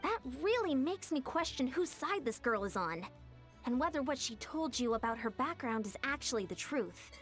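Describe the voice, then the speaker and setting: A wary, serious commander. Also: female voice, high-pitched, sing-song, fairly bright tone, soft background music. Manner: measured, cautious, earnest. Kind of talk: game voice acting.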